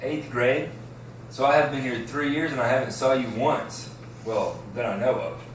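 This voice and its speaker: A man's voice: medium pitch, moderate pace, lightly acted. A man playing a friendly, laid-back teenage stoner boy in an amateur script read. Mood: friendly, casual. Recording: echoey room, distant mic, faint noise.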